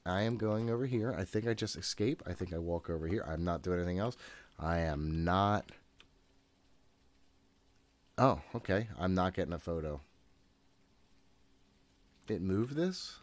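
A man's voice: low voice, unhurried, pauses often. A man in his thirties giving casual, jittery, profane gameplay commentary, often talking to himself. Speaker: gaming host. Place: room at home.

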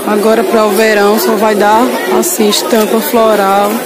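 A woman's voice: medium pitch, little pitch movement, normal speed, rough recording. A woman with a Northeastern Brazilian accent chatting casually to viewers as she shows items at a busy market, informal and often repeating details. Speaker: vlogger showing market clothing stalls. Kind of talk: casual shopping vlog chat to viewers. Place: busy street clothing market. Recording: busy crowd noise all around. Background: loud market crowd.

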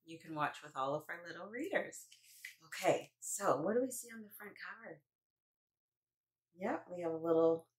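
A woman in her thirties hosting story time for small children, with an animated, sing-song way of talking. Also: fairly low pitch. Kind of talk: read-aloud for young children. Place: small indoor room with painted mural.

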